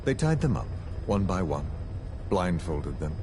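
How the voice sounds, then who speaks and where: Super low villain voice, cold n polite, dramatic game interrogation, noisy background. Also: male voice, very animated. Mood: calm menace. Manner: measured, menacing, falsely polite, theatrical. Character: cold, calculating male villain interrogating a captive. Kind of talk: dramatic video game voice acting.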